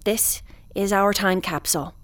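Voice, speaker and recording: A woman playing an officious, weary space station commander hosting a broadcast in an audio drama, speaking in a formal, measured, bureaucratic way. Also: medium pitch, a bit bright, no echo, lightly acted. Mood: solemn, ceremonial. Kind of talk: audio drama acting, broadcast host address.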